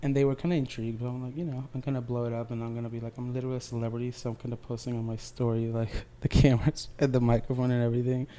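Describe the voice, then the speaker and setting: A man's voice: mid-range voice, little pitch movement, very fast, hardly any pauses, dark tone. A man co-hosting a podcast, telling stories casually in a rambling, slangy way full of "like" and "you know". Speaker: podcast co-host. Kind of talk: casual chat, storytelling.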